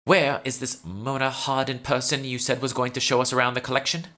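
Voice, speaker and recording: An imperious British socialite woman, voiced by a male audiobook reader. She speaks with scornful condescension and a bright, forceful edge. She is haughty through and through. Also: medium pitch, level tone, a little fast, pitched above the performer's own voice, close to an everyday voice. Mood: condescending.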